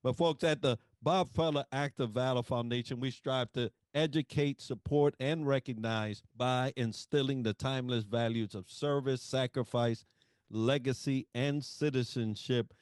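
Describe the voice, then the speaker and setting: A man's voice: mid-range voice, fairly even intonation, normal speed, darkish tone, clean tone, dry recording, clean studio sound. A middle-aged man hosting a podcast interview, giving formal introductions and reading prepared questions. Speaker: podcast host.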